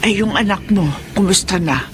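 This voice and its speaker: A woman's voice: medium pitch, moderate pace, background noise, lightly acted. A woman with a Filipino accent playing a fretful, caring older mother of grown sons in a radio drama. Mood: concerned, motherly. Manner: pleading, motherly, concerned.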